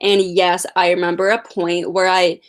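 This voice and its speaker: A nineteen-year-old woman who stutters, chatting slowly and casually on a livestream as she answers viewer questions. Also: lowish voice, flat delivery. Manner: stutters with repeated sounds and blocks. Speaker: livestream host.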